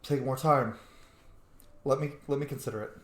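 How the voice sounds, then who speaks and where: A man's voice: medium pitch, moderate pace, roomy sound, slight background noise. A man giving casual commentary while playing a game, thinking aloud as he goes. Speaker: gamer narrating a roleplay playthrough.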